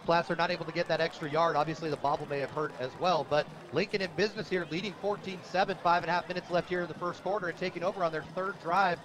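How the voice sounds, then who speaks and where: An American man calling football play-by-play on the radio in an excited, emphatic manner. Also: fairly high pitch, racing, few pauses. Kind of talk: live American football commentary. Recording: outdoor stadium broadcast, heavy background noise.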